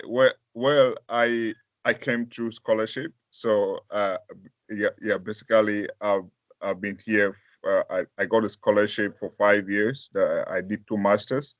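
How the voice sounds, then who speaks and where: Male pastor, from Africa, deep low voice, halting and full of restarts, dry, dull call audio. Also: no room sound. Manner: halting, many fillers and restarts. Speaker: pastor and interview guest. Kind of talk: casual interview answers.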